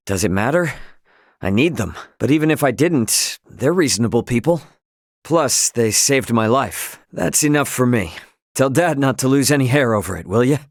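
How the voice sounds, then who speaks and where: A man performs a young starship captain from a video game, brash and easygoing with a stubborn streak. His mid-pitched delivery is lively and slangy, full of wry asides, in a very dry, close recording. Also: no room sound, natural voice. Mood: firm, stubborn. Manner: casual slangy, wry, loosely drawled asides. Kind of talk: English video game voice acting.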